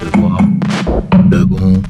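Man, deep, slow single-word recital, wildly varied pitch, echoing, loud music. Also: big pitch swings, creaky. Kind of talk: slow recital of single words in a sound collage. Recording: roomy echo, loud music and percussive sounds.

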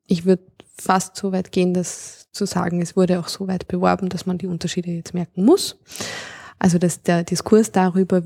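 Linguist guest, female, mellow warm tone, dry close sound, measured explainer. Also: lowish voice, vocal fry, no room sound. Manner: thoughtful, explanatory. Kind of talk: conversational interview.

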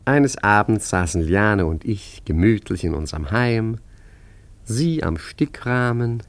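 An actor in a German radio play playing an urbane, evasive art forger who talks hesitantly with polite irony. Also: male voice, deep voice, full character voice. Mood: wry, conversational. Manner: hesitant, stammering, politely ironic.

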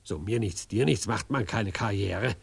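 A man's voice: on the low side, unhurried, creaky, husky, full character voice. A male actor playing a pompous, patronising cabaret impresario in a German radio drama, with theatrical, condescending delivery.

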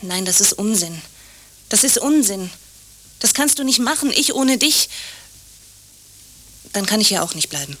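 A sharp, forceful female voice plays an anxious landlady in a radio drama. She clings and insists, repeating short phrases in a mid-pitched, urgent way. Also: expressive, unhurried, long pauses. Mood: upset, protesting. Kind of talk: naturalistic radio drama dialogue.

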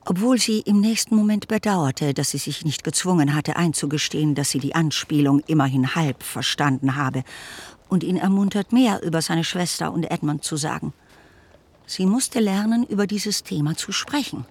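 A man narrating a classic romance novel for a radio play in a measured, articulate storytelling manner. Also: fairly high pitch, breathy edge. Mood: measured, observant.

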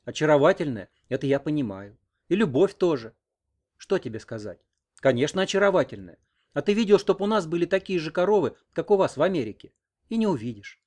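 Man voicing a coquettish, self-assured young village woman, lifted in pitch. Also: very animated, fairly quick, darkish tone. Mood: teasing. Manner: coquettish, teasing. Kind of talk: audiobook character reading.

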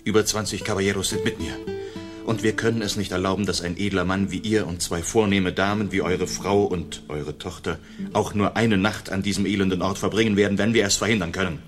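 An actor playing a bold, gallant masked outlaw in a German radio play, speaking in a commanding, courtly way. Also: male voice, deep voice, fairly even intonation, a little fast, faint music behind, lightly acted. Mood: urgent, noble.

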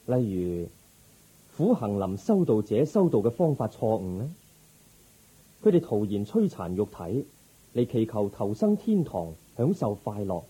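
A man playing a calm, resolute young prince turned monk in a radio drama, speaking in a measured, gentle, formal way. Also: fairly low pitch, husky, exaggerated voice, low-quality recording. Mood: earnest. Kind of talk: Cantonese Buddhist radio drama acting.

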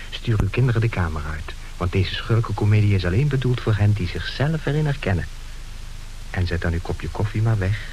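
Male actor as radio play narrator, low voice, wry, mock-solemn, formal, hissy old recording. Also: darkish tone, lightly acted, low-quality recording. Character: wry male narrator warning of a rogues' comedy. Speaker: announcer introducing a radio play. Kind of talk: theatrical scripted radio narration.

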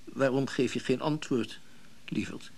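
A man's voice: medium pitch, a little slow, vocal fry. An actor playing a coaxing, menacing man who believes anyone can be bought, in an intimate radio drama. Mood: coolly menacing. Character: smooth-talking, menacing suitor-like buyer of people.

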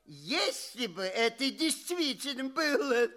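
An actor playing a pompous, condescending old alien professor in an audio play, with a drawn-out, theatrical delivery and repeated phrases. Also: male voice, very high-pitched, big pitch swings, bright, forceful tone, exaggerated voice. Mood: indignant, mocking. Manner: drawn-out, chuckling, repeats himself.

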